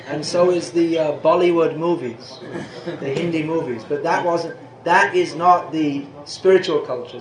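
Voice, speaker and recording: Older man, spiritual teacher, casual Q&A, rambling, slightly high voice, echoey room, faint hiss. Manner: rambling, self-interrupting, chuckles at times. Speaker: spiritual teacher answering questions. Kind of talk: informal question-and-answer talk.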